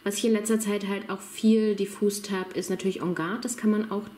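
A woman's voice: mid-range voice, level tone, a little fast, dark tone. Chatty woman vlogging around her home. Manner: chatty, enthusiastic, addressing viewers directly. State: slightly under the weather. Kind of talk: casual vlog walkthrough. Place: home, indoors.

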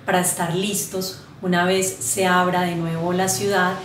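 A woman presenting her travel agency in a measured way. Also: on the low side, little pitch movement, roomy sound, a little hiss or hum. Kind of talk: promotional presentation. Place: indoor room.

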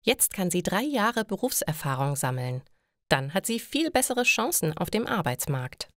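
A woman reads a scripted story for language learners, enunciating clearly in a measured way. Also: on the low side, big pitch swings, no room sound, studio quality. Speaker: narrator of German listening lessons.

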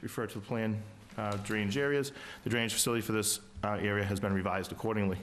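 A middle-aged man, presenting site plans to a town planning board, halting often with uhs and ums, speaking into a table microphone in a meeting room. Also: on the low side, steady tone, fairly bright tone, slight background noise. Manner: halting, many uhs and ums, reads plan details.

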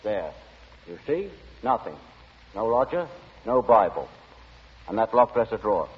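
A droll, stubborn director in an old radio drama, deadpan and husky. The recording is muffled, with long reverb. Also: male voice, on the low side, a little slow, full character voice. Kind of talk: 1940s radio acting.

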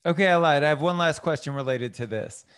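A man's voice: fairly high pitch, quick pace, fairly bright tone, clear, resonant tone. An American man in his thirties hosting an interview, asking questions conversationally into a close studio mic. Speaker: host, interviewer. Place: indoor home studio. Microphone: studio mic on boom arm, close.

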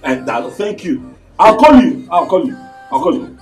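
A man's voice: a little high, sing-song, moderate pace, darkish tone, far from the mic. An actor playing a placating, evasive man who repeats himself as he pleads in a film drama. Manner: pleading, repetitive. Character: placating, evasive man soothing his angry partner. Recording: faint music underneath.

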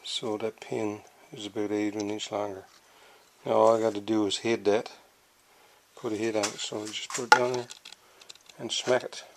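A man's voice: on the low side, level tone, moderate pace, pauses often. A middle-aged man in a cluttered home workshop, talking through his toolmaking in a rambling, matter-of-fact way, with half-muttered asides into a handheld camera. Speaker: hobby toolmaker. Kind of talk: workshop tutorial. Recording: loud workshop noise behind.

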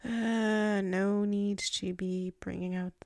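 A woman playing a flustered, submissive tsundere werewolf in an intimate ASMR roleplay, halting and murmuring, often slipping into whispers close to the mic. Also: mid-range voice, steady tone, darkish tone, dry recording. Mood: flustered, coy. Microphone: close microphone.

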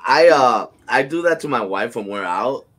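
A man's voice: medium pitch, lively intonation, normal speed, fairly bright tone. A man joining a casual video-call chat as a guest, joking and laughing with short replies. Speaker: guest panelist.

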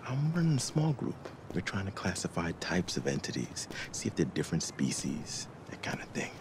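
A middle-aged American man playing a kind, protective researcher in a cinematic game, talking in a gentle, familiar, naturalistic way. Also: low voice, huge pitch swings, normal speed, gravelly, background noise, full character voice. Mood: informative, easygoing.

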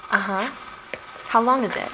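An actress playing a curious woman investigator who hosts a podcast in an audio drama, speaking in a measured, explanatory way. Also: fairly high pitch, noisy background, natural voice. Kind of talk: scripted documentary-style podcast narration.

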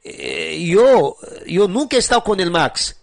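A man playing a defensive, apologetic sister who explains herself haltingly in a dramatic story. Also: sits high, big pitch swings, creaky, no room sound, clean studio sound, pitched below the performer's own voice, exaggerated voice. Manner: repeats denials, explains haltingly. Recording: music under the voice.

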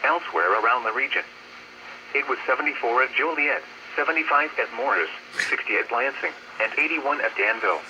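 A synthetic man's voice reading a formal weather bulletin in a measured, impersonal way through a radio scanner speaker. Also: mid-range voice, lively intonation, lo-fi sound, crowd noise. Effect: heard through radio scanner speaker. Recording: radio speaker picked up by handheld camera. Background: listener talking over the broadcast.

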